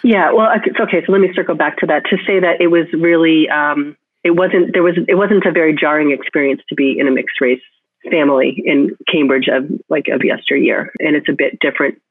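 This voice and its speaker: A woman's voice: low voice, fairly quick, no room sound. Woman musing aloud over a tinny phone line. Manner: thinks aloud with frequent restarts and "like". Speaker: photographer being interviewed. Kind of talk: reflective interview talk.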